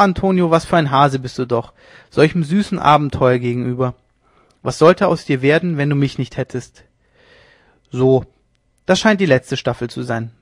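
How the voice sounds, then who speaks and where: A man voicing a swaggering, mocking young ship's lieutenant who talks loudly and jeers, in a dry close recording. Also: a little high, no echo. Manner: boisterous, jeering, loud.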